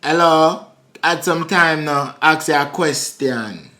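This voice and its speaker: Bright-voiced man faking Jamaican patois. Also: a little high, slow pace. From New York. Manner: playful, in a put-on Jamaican patois. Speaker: prank video host. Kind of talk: prank chat on a video call. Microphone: phone on a video chat app.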